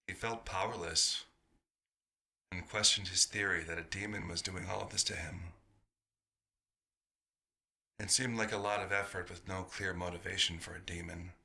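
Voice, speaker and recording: A man's voice: low voice, creaky, sharp tone, exaggerated voice. A man narrating a scripted story in the third person.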